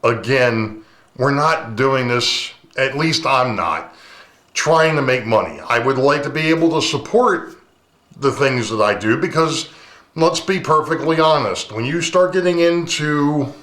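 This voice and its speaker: A man hosting his own hobby channel, talking casually to his camera with a booming voice, rambling and full of ums, recorded on a camcorder's built-in mic. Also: expressive, a little slow, fairly bright tone. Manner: rambling, chatty, many ums. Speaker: model railroad channel host. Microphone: camcorder's built-in mic, no lapel mic. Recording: echoey room.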